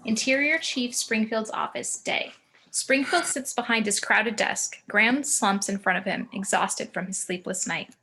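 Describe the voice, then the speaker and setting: A woman's voice: medium pitch, unhurried, sharp tone, a little hiss or hum, rough recording. A woman with a mid-range voice reads stage directions aloud. It is scripted narration for a table read, with an occasional stumble.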